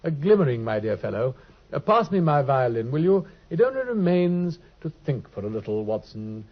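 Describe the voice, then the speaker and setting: A brilliant, aloof detective in an old radio play, drawling and teasing as he explains. His pitch sits a touch high and swings in a lively way. The husky voice comes through a dull, lo-fi broadcast recording. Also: normal speed. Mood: wry. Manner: drawling, wry, lecturing tone. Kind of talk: 1950s radio drama acting.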